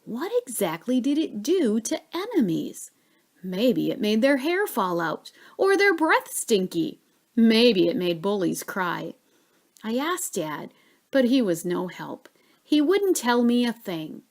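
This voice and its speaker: A woman reading a children's story aloud expressively, with dramatic pauses and stretched key words. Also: on the high side, very animated.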